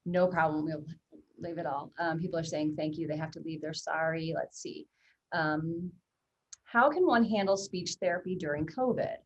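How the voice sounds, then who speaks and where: A woman's voice: low voice, normal speed, dull audio. A woman hosting a webinar, reading viewer questions aloud with frequent fillers. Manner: friendly, reads questions with many fillers. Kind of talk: casual webinar Q&A.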